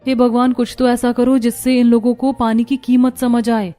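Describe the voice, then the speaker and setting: A woman's voice: a little high, flat delivery, moderate pace, warm tone, soft background music, natural voice. A woman voicing an overworked, complaining young daughter-in-law in a cartoon story. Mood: bitter. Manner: complaining, sarcastic. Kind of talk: Hindi cartoon story acting.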